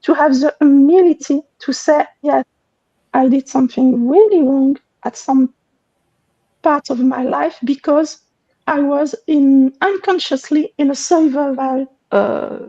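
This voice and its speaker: A woman with a high yet mellow voice speaks slowly and hesitantly on an online panel, repeating words as she gropes for them. Her audio is dull, lo-fi and dry. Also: steady tone, no room sound. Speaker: panel guest.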